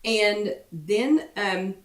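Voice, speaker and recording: A woman with a croaky voice talks casually to her viewers as a workshop host, piling on repeats and ums. She sits back from the mic. The room adds a noticeable echo. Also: mid-range voice, animated, extremely slow, far from the mic. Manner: chatty, repeats herself for emphasis, many ums. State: voice getting croaky. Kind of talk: casual motivational chat to viewers. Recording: roomy echo, away from the mic.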